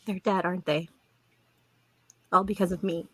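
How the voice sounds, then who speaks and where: A woman playing an unnervingly calm, menacing little mutant girl with a demanding, controlled way of speaking in an audio drama. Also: lowish voice, normal speed. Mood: sorrowful. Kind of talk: live audio drama read.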